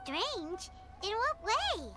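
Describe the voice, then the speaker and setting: A woman voicing a tiny, excitable, childlike fairy companion in a video game, squeaky and exclamatory. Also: drawn-out words, full character voice. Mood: puzzled. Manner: exclamatory, refers to self in third person. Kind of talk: scripted video game dialogue. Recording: loud game music behind the voice.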